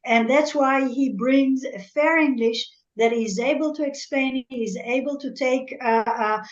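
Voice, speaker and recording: Middle-aged French-native woman, fairly high voice, dull lo-fi sound, earnest and halting. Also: fairly even intonation. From Algeria. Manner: earnest and measured, often repeating words as she searches for them. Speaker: guest analyst, former military spokesperson. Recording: echoey room, dull lo-fi audio.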